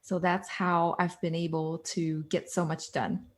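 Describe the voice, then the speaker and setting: French-accented Quebec woman, lowish voice. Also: level tone, measured pace. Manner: earnest, chains thoughts with "so" and "like". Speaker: guest, author and coach. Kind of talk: conversational interview.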